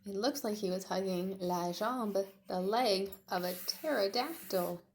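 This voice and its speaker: Slow-paced woman reading to young children. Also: mid-range voice, slight background noise. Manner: encouraging, addresses listeners as friends. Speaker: teacher and storyteller. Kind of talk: interactive read-aloud for young children.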